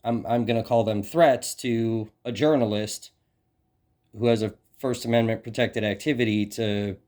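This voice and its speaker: Male journalist, American, mid-pitched, pressing with challenging questions in hesitant, broken phrasing. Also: steady tone, darkish tone. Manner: halting, hesitant phrasing with repeated words; persistent, challenging. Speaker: independent journalist. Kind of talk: questioning an official in a phone interview.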